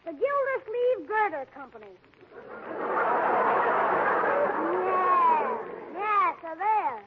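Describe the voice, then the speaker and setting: Very high boyish voice, argumentative eager kid, 1940s radio comedy, slightly noisy old recording. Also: big pitch swings, extremely slow, lo-fi sound, exaggerated voice. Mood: cheeky, insistent. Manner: slangy, argumentative, pestering. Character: twelve-year-old boy, eager cheeky nephew.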